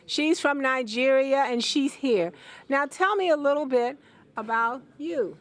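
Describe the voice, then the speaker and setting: A woman's voice: sits high, measured pace. A woman interviewing guests at an event as the host, repeating their answers aloud for the audience.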